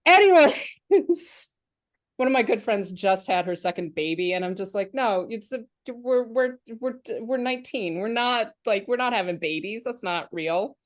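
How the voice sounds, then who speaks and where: A woman's voice: mid-range voice, expressive, normal speed. Chatty woman in her thirties hosting. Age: 30s. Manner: chatty, laughs often, many restarts and fillers. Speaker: podcast host. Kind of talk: casual podcast chat.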